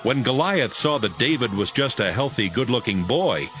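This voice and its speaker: A man's voice: mid-range voice, animated, normal speed, a bit bright. A man narrating a dramatized audio Bible as scripted storytelling. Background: music.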